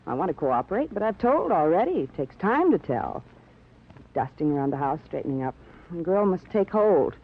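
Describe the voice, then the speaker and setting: Fluttery genteel widowed housewife, tinny radio. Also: female voice, fairly low pitch, very animated, normal speed, slightly rough, heavily acted. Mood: plaintive, defensive. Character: chatty, genteel, self-absorbed newly widowed housewife. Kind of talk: 1950s radio drama acting. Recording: tinny, noisy old radio recording.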